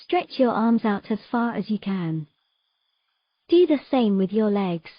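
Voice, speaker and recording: Woman playing a calm mermaid, closely dry sound. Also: mid-range voice, unhurried, no echo, lo-fi sound, natural voice. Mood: calm instructing. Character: calm, frank, teasing female mermaid in mythical fantasy. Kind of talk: audio drama acting.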